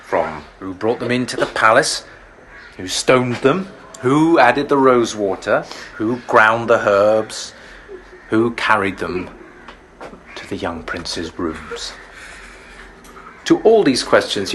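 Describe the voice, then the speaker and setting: Low, grim palace guard commander. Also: male voice, animated, background noise. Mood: cold, threatening. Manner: measured, coldly threatening, formal. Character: grim, ruthless male master of palace guard. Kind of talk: audio drama acting.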